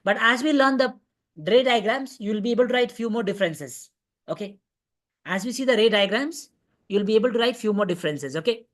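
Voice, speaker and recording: A woman's voice: a little high, expressive, a little fast. Indian woman teaching an online class. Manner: repeats points, checks understanding with okay and right. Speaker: physics teacher. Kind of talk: online revision class lecture. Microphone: online video call class.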